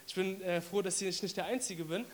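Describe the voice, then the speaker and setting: A man with a hoarse voice from a cold, giving a casual, chatty sermon to his congregation with many fillers. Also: high voice, steady tone, brisk. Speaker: preacher.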